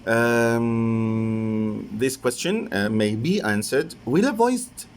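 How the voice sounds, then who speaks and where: An Egyptian-accented man, a native Arabic speaker, gives a conference lecture in a mid-pitched voice. He speaks haltingly, stopping and repeating himself as he works through his academic points. Faint noise sits behind his voice. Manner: halting, hesitant delivery with repeated phrases. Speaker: professor presenting an academic paper.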